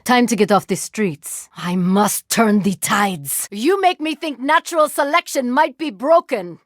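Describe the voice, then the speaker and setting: An actress voicing a calm, clinical reanimated woman agent who commands drones, speaking in a precise, formal, deadpan way. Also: mid-range voice, dry recording, clean studio sound, lightly acted. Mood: combat cool. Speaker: video game character. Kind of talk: game voice lines. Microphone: close studio mic.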